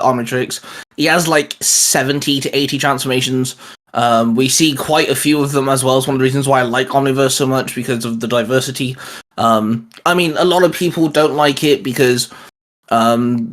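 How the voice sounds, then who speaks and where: A man's voice: mid-range voice, a bit bright. A man talking enthusiastically and in a rambling way, as a co-host in a casual, geeky fan chat. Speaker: co-host of a fan discussion show.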